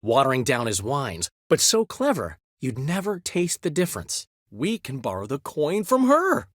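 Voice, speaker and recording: An actor playing a vain, witty middle-aged bard in a video game, speaking theatrically with playful asides. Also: male voice, mid-range voice, wildly swooping pitch, moderate pace, a bit bright, dry recording, studio quality. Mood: gossipy. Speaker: game character and epilogue narrator.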